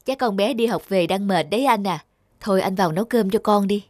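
A woman's voice: mid-range voice, a little fast, darkish tone, no room sound, clean studio sound, close to an everyday voice. Actress as caring young cartoon village woman. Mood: polite modesty. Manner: polite, solicitous. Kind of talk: cartoon dub acting. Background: music.

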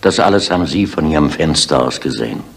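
An actor playing a firm, probing police inspector who presses deliberately in a seventies radio crime drama. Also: male voice, very deep voice, expressive, gravelly, slightly rough, heavily acted. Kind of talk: 1970s German radio crime drama acting. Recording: old radio recording with faint noise.

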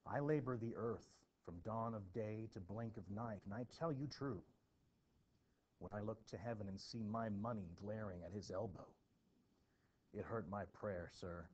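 A man voicing a blunt, guarded farmer from colonial times in a plainspoken, halting play reading. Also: on the low side, darkish tone, close to an everyday voice. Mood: resentful, defiant. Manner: plainspoken, halting, archaic rural phrasing. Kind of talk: expressive classroom play reading. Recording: dull, noisy home recording.

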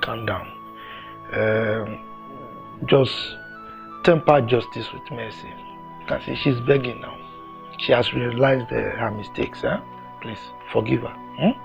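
A Nigerian family elder with a high male voice. He admonishes and repeats himself, with music underneath the film drama. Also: very animated, normal speed, muffled recording. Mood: conciliatory.

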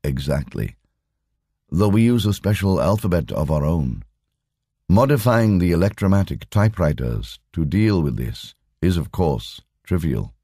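An imperturbable lama , acted out by a male audiobook reader, speaks with formal patience. His voice is low and measured, recorded dry and close. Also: lively intonation, mellow, husky, no room sound, heavily acted. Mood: patient, formal.

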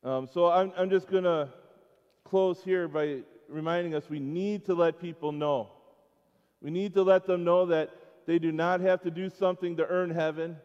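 A middle-aged American man giving an informal children's sermon in a church, halting between short phrases and putting questions to his listeners. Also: high voice, fairly even intonation, darkish tone, clean tone. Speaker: school chapel speaker. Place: church sanctuary, speaking before schoolchildren. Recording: dull, echoing church hall pickup.

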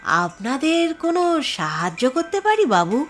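A woman voicing a humble, melancholy ghostly male temple priest in an audio horror story, speaking in halting, drawn-out phrases. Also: high-pitched, big pitch swings, soft background music. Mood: humble, eerie.

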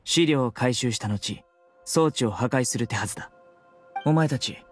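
An actor playing a stern, dutiful but considerate guard officer in an anime game, firm, terse and commanding. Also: male voice, mid-range voice, moderate pace, soft background music, lightly acted. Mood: firm, businesslike. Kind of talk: anime video game voice acting.

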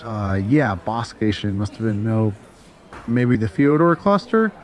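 Man playing a jaded, lowish-voiced spacer. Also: very animated, measured pace. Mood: casual. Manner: offhand, blunt. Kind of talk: casual dialogue in an audio drama.

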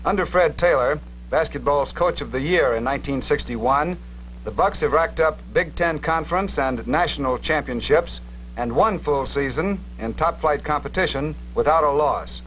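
A man's voice: on the high side, moderate pace, a bit bright. A man reading scripted narration to introduce a 1960s instructional sports film. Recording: noisy 1960s film soundtrack.

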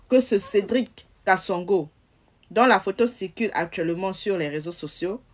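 A young woman speaks close to a phone camera in a small room, her voice low and somewhat husky. She talks to her audience directly, pressing her points with emphatic repetition. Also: darkish tone. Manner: emphatic, addresses viewers directly. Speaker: social media commentator. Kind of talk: casual commentary.

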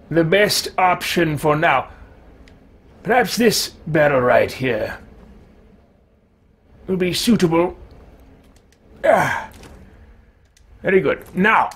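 Man acting as genteel wizard, absent-minded, slow deliberate delivery, swooping pitch, formal video game roleplay. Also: creaky, fairly bright tone, slightly rough, full character voice. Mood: musing. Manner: formal, deliberate, musing, theatrical. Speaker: let's-play streamer roleplaying his game character. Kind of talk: in-character game roleplay commentary. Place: small indoor room at home.

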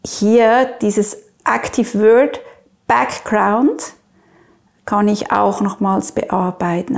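A woman in her forties with a Swiss German accent, explaining an app step by step in a clear, instructional manner. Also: mid-range voice, darkish tone. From Switzerland. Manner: clear, instructional, addresses the viewer directly. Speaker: presenter of app tutorials. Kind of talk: step-by-step screen tutorial.

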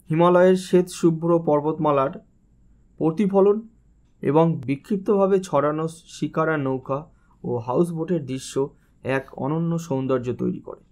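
A man reading formal, descriptive scripted travel narration. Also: fairly high pitch.

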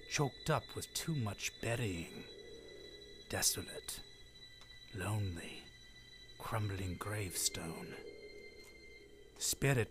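An old miser in a radio play, intensely theatrical. His pitch swings widely and he takes his time over each phrase, leaning into dramatic pauses.

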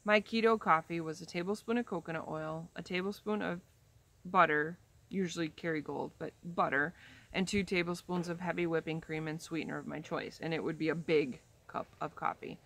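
A woman's voice: deep voice, steady tone, fairly quick, a bit bright. A woman of about fifty chatting casually to camera from a backyard chair, rambling with frequent ums and restarts. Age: 50s. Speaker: vlogger. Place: outdoors in a leafy backyard, seated in a chair. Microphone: camera in front, head and shoulders framing.

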